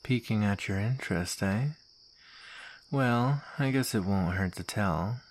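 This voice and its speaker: A man's voice: fairly low pitch, unhurried, a little hiss or hum, lightly acted. A man playing a smug soldier villain in an ASMR roleplay, taunting and drawling with mock sweetness and menace. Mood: coolly menacing.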